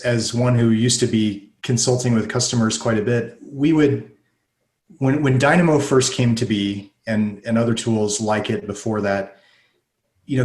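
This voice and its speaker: Man hosting in an echoey room. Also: mid-range voice. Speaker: show host. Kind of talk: interview conversation.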